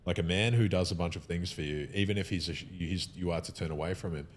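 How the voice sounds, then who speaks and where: A man's voice: sits low, fast. A man talking in a casual podcast conversation, opinionated and emphatic, often breaking off and restarting his sentences.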